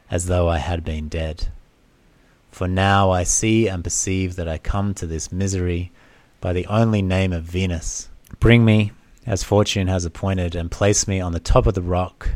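A man's voice: sits low, a little mellow, dry recording. A man voicing a sorrowful, proud young princess resigned to doom, in solemn declamatory storytelling. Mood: resolute, resigned. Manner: solemn, declamatory, rhetorical questions. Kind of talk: dramatic storytelling of an old myth.

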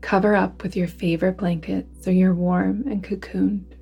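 Mellow, creaky-voiced woman guiding relaxation. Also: mid-range voice, level tone, faint music behind. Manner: soothing, deliberate, hushed phrase endings. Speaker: yoga nidra guide. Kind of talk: guided relaxation meditation narration.

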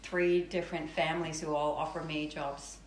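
A woman's voice: sits low, level tone, normal speed, echoey room, a little hiss or hum, rough recording. A woman telling a rambling personal story in casual chat, laughing often. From New Zealand. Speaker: guest. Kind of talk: casual storytelling before a live audience.

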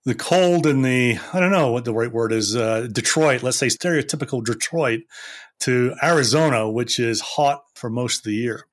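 A man from Scotland, hosting a casual podcast interview with brisk, upbeat questions. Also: medium pitch. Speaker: podcast host.

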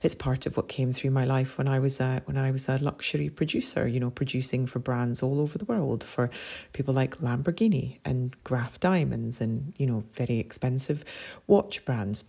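Scottish woman with a gravelly low voice. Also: normal speed. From Scotland. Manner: warm, earnest, rambling, with many "you know" fillers. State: voice deepened and husky after a party. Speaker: podcast host and somatic coach. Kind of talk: reflective solo podcast talk.

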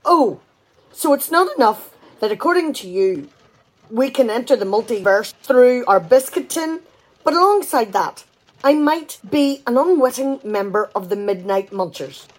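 An actress playing an exasperated, sarcastic wife in a radio play comedy, talkative and full of indignant questions. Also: female voice, on the high side, expressive, unhurried, low-quality recording.

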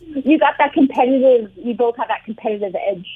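A chatty woman co-hosting a talk show, bubbly and quick to laugh. Her voice sits a little high and sounds slightly husky, and it comes through a thin, tinny phone line. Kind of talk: casual on-air chat.

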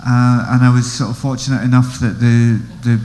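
A man's voice: mid-range voice, moderate pace. A man, not originally from Scotland, talking as a guest on a live podcast panel, hesitant with many restarts. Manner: hesitant, restarts, flat delivery. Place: live event venue.

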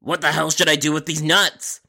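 Guy putting on a cranky impatient customer, super sharp and forceful, creaky rasp, curt. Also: on the high side, level tone, moderate pace, heavily acted. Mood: exasperated. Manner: curt, irritated, insistent. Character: grumpy, impatient food delivery customer named Sharon.

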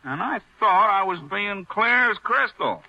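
A man's voice: sits high, animated, a little slow, heavily acted. A man acting a cynical, wisecracking hotel detective in 1940s radio style, sardonic, slangy and needling. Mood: brash, mocking. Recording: muffled vintage radio recording.

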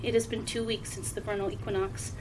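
A woman reading the part of a refined old bearded mage in a storybook, soft and measured. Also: medium pitch, little pitch movement, noisy background, lightly acted. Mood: measured, curious.

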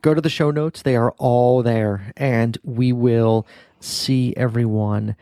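A man's voice: mid-range voice, fairly even intonation, slow pace, dark tone, no room sound, studio quality. A middle-aged father hosting a casual podcast with his daughter, teasing playfully and often restarting his sentences.

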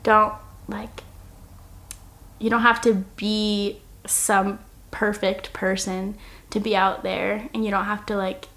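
A woman's voice: mid-range voice, flat delivery, slow, a bit bright. A woman in her twenties from Oregon, answering a podcast interview in an earnest, reflective way and laughing easily. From Oregon, United States. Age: 20s. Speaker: podcast guest. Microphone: single shared microphone.

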